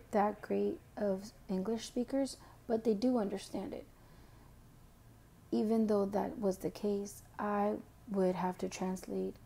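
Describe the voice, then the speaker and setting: Slow-reading young woman, gravelly voice. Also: medium pitch, warm tone. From Mexican background. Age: young adult. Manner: slow, careful reading, switching to Spanish for a passage. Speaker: education student. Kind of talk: reading a prepared self-introduction.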